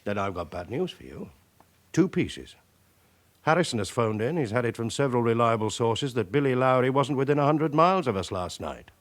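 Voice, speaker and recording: Senior male inspector, radio drama: terse, questioning, sceptical, lively pitch movement. Male actor. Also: fairly quick, lightly acted. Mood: sceptical, stern. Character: sceptical senior police chief inspector, exacting. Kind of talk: naturalistic radio drama acting.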